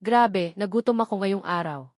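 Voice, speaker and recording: A woman's voice: medium pitch, normal speed, a little mellow, no echo, close to an everyday voice. Woman reading as a friendly young man, dry sound. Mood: friendly, casual. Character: friendly young man, the heroine's affectionate pal. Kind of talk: scripted novel dialogue.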